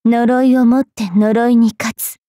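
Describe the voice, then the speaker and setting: A woman playing a cruel, wilful young female fairy knight in an anime game, with a haughty, taunting, rough-mouthed delivery. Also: fairly high pitch, little pitch movement, measured pace, dark tone, no room sound, clean studio sound, lightly acted. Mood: cool, matter-of-fact. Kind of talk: anime mobile game voice lines.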